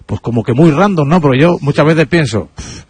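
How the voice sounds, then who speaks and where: Fast-talking radio host. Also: male voice, on the high side, lively intonation, a little mellow, husky, no echo. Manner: chatty, frequent tag questions. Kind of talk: live radio chat. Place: on location, remote broadcast.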